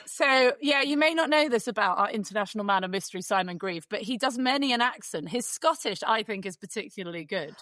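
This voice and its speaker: A woman's voice: medium pitch, expressive, a little fast, few pauses, fairly bright tone, no room sound. A woman hosting a podcast, bantering and teasing, swearing casually. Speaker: podcast host.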